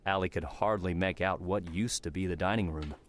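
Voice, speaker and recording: A man's voice: low voice, a little fast. A man narrating a scripted fantasy story as an audiobook narrator. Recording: clearly noisy background.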